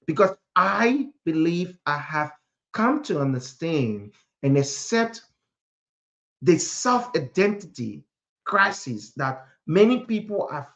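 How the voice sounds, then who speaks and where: Motivational preacher, from Africa, emphatic, repetitive phrasing, rhetorical questions, dull low-fidelity audio. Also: fairly bright tone. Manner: emphatic, repeats phrases for effect.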